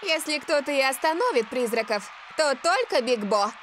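High, lively cartoon commentatress. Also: female voice, moderate pace, over music. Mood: upbeat. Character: lively female football match commentator.

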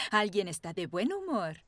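A woman voicing a kindly, gently amused mother rabbit in a children's cartoon dub. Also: medium pitch, heavy background noise. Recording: music under the voice.

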